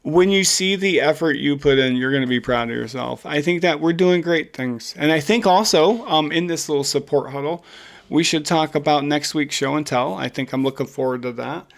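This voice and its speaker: A man's voice: fairly high pitch, normal speed, vocal fry. A man co-hosting a podcast in casual chat, speaking informally and encouragingly, often saying "dude.". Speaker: podcast co-host.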